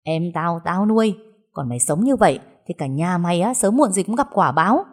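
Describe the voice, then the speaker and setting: A woman voicing a furious, foul-mouthed older sister who shouts insults and sobs. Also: fairly low pitch, animated, quick pace, slightly rough.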